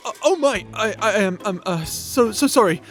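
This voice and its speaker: Man playing a stammering young wizard, higher voice. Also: expressive, moderate pace, a bit bright, faint music behind. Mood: flustered, apologetic. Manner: stammering, apologetic, flustered. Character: shy, nerdy, awkward young male wizard, anxious and polite. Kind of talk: fantasy audio roleplay acting.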